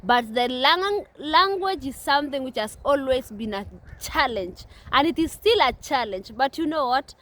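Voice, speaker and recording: A woman's voice: high voice, expressive, normal speed, fairly bright tone. A young woman from Kenya with a Kenyan English accent, vlogging outdoors at a playground in an earnest, repetitive way with many restarts. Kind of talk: casual talk to camera.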